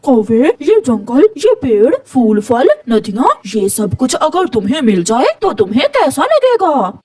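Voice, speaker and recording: Cartoon owl troublemaker, voiced by a woman, persuasive, high and animated. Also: measured pace, husky, exaggerated voice. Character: plotting male owl soldier, persuasive troublemaker. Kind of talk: cartoon character acting.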